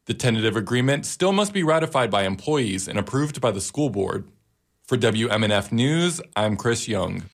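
A man's voice: mid-range voice, lively intonation, moderate pace. A man delivering a scripted news report as a reporter.

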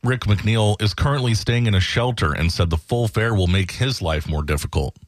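Very deep-voiced male news reporter, dry sound. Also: moderate pace, no echo. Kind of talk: scripted news narration.

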